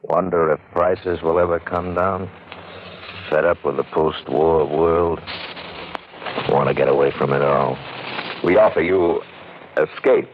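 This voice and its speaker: A man's voice: rumbling low, fairly even intonation, measured pace, long pauses, slight background noise. A man announcing a 1940s radio drama in a portentous, dramatic manner. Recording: tinny old radio recording.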